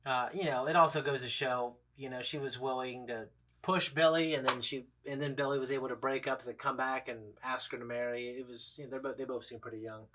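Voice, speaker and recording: A man's voice: medium pitch, fairly even intonation, a little fast, pushed and bright, poor audio. A man in his thirties chatting casually as a reaction show host, in a rambling, blunt, profanity-laced way. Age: 30s. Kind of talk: casual chat, episode discussion.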